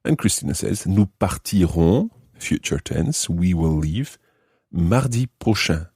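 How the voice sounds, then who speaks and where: A man's voice: low voice, warm tone, breathy edge, dry recording, studio quality. A man teaching a language lesson, explaining and translating phrase by phrase with careful enunciation. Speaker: language teacher, podcast host.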